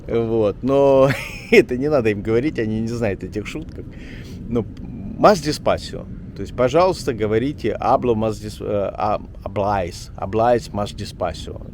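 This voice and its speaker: A man talking casually as a journalist vlogger on a walk through an old town street, rambling and chatty, often pausing on filler words. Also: on the low side, darkish tone, slight background noise. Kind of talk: casual walking vlog chat.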